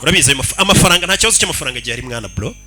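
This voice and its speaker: A man with a Rwandan accent giving excitable, exclamatory live film commentary and translating the dialogue. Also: a little high, very fast. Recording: loud film music and soundtrack behind. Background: music and film soundtrack.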